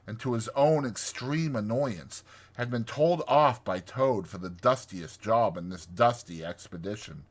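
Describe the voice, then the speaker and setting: Male storybook narrator, bright and husky, for dramatized scripted reading. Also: mid-range voice. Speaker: audiobook narrator.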